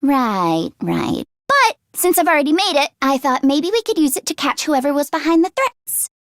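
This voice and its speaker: A woman voicing an excitable, earnest teenage girl inventor who gets easily flustered, in an expressive anime-style video game performance. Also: high voice, normal speed, no echo, studio quality. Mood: dry, grumbling. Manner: expressive, swinging from deadpan grumbling to frantic outbursts.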